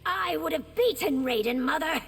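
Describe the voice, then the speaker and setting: An actress playing a defiant, proud young princess and heir in a video game, speaking combatively. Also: female voice, sits high, animated, moderate pace, in a large hall, background noise. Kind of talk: dramatic video game dialogue.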